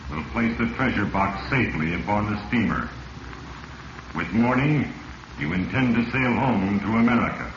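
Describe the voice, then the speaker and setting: An actor playing Fate, a stern all-knowing narrator of doom in a 1940s radio mystery, speaking solemnly and deliberately. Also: male voice, mid-range voice, close to an everyday voice. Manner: solemn, ominous, deliberate pronouncements. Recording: dull, echoey vintage radio recording, faint hiss.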